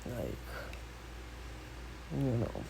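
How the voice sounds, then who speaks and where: A woman's voice: extremely low pitch, very animated, very slow, vocal fry. This woman talks from the bottom of her range, her voice nasal and congested from a cold. She chats loosely and unscripted, drifting through asides and fillers as she builds a music tier list. A phone picks her up with a faint hum of room noise behind. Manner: rambling, chatty, many fillers and asides. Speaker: music vlogger. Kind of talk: casual unedited tier list commentary.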